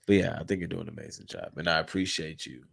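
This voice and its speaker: A man with a very low, gravelly voice co-hosting a podcast, sounding congested. He talks in a laid-back, candid way, swearing freely as he chats. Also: quick pace, a bit bright. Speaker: podcast co-host. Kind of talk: casual podcast chat.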